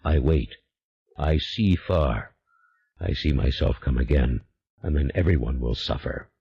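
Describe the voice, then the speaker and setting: A man voicing an invisible ancient male demon, speaking slowly in a hushed, ominous way. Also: very deep voice, animated, creaky, highs cut off, slight background noise, pitched below the performer's own voice, heavily acted.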